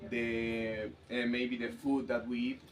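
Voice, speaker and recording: A man's voice: medium pitch, level tone, takes it slowly. A man from Veracruz with a Mexican accent, a native Spanish speaker, answering interview questions in hesitant second-language English, searching for words. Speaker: interview guest. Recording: faint background ambient noise.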